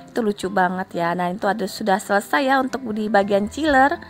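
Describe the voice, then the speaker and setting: A woman's voice: mid-range voice, brisk. Nasal-voiced woman with a cold. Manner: chatty, keeps addressing viewers, tags lines with soft fillers. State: stuffy nose from a cold. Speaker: homemaker vlogger. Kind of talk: casual vlog voice-over. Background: faint background music.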